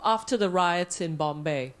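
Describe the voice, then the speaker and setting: A woman's voice: on the low side, a little slow. A woman in an audience putting an academic question to a panel in a hesitant, wordy way.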